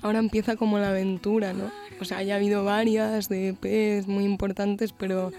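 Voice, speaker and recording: A young woman from Galicia with a light Galician accent, a musician guest talking in a relaxed, thoughtful way with many fillers in a radio studio. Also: mid-range voice, fairly quick, faint music behind. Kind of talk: radio interview.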